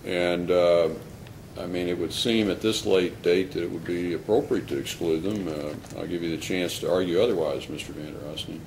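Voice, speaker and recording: Male judge, deep register, measured procedural questioning in court, slightly noisy recording. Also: steady tone, darkish tone. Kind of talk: questioning lawyers from the bench. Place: indoor courtroom.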